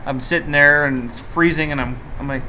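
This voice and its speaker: A man's voice: a little high, steady tone, fairly quick, pushed and bright, poor audio. A man hosting an informal podcast interview in a casual, chatty way, with some mild swearing. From Canada. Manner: informal, mildly profane. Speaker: podcast host. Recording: faint background noise.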